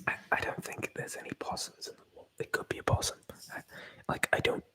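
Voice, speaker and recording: A man's voice: brisk, sharp tone, dry recording. A man whispering through an ASMR livestream, rambling and joking as he reads viewer comments aloud. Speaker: ASMR streamer.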